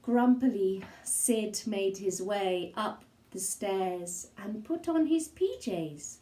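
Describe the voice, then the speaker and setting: A woman reading a rhyming children's picture book aloud, slowly and theatrically, in an expressive storytelling style. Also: medium pitch, echoey room. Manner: theatrical, slow and deliberate, with gasps and asides. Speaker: storybook reader.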